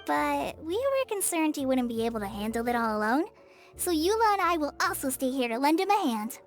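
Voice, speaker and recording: Kind girl, very high voice. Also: very animated, a little fast. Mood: reassuring. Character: kind, helpful, girlish young woman. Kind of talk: anime video game voice acting. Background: game music.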